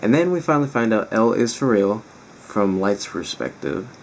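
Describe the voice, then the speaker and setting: Raspy man with creaky low-ish voice. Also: very animated, moderate pace, low-quality recording. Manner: rambling, informal. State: strained, raspy voice. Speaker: reaction video host. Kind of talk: casual chat to viewers. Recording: faint background noise.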